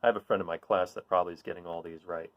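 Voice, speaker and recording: A man reading a children's picture book aloud in an informal, expressive way, putting questions to young listeners and encouraging them. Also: deep voice, rapid-fire, dull audio. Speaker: read-aloud storyteller.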